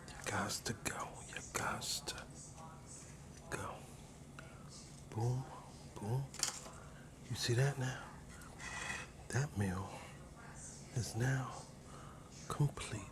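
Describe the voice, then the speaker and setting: A man hosting a cooking ASMR video in a casual, playful, soft-spoken way, often slipping toward a whisper and repeating his phrases. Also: on the low side. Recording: clear sizzling and frying noise. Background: food sizzling, kitchen stirring sounds.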